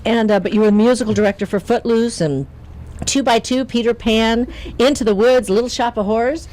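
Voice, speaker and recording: A woman hosting a casual radio variety show, chatty and quick to laugh. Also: a little high, a little mellow, poor audio. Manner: giggly, chatty.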